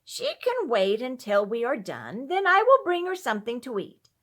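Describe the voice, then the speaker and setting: A woman voicing a brisk, clipped farmer's wife, outwardly indifferent and secretly tender, in a storybook tale. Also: fairly high pitch, huge pitch swings, pitched above the performer's own voice.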